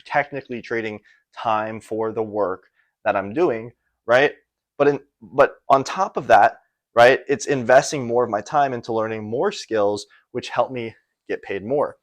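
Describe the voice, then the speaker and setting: A man's voice: mid-range voice, no room sound. A young American man talking casually and unscripted like a business coach, rambling a little and often checking in with the listener. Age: young adult. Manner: conversational, rambling, often tags phrases with "right?". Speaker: online business and sales coach. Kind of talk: casual unscripted motivational explainer to camera.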